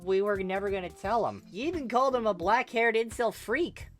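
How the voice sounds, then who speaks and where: A cheerful, dim sporty teenage boy, played by a woman in a story reading. The voice is pitched well up, bouncy and sheepish, blurting out lines with music underneath. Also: expressive, brisk, natural voice. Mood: confused, earnest.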